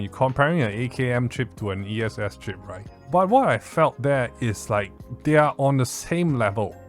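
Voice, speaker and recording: A man's voice: medium pitch, a little mellow. A man giving a casual spoken product review in an enthusiastic, emphatic way, often repeating words for stress. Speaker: tech reviewer, host.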